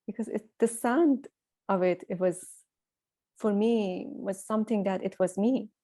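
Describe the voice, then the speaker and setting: A woman's voice: medium pitch, a little slow, dark tone. A woman with a Persian accent hosting a conversational interview, hesitant with many fillers.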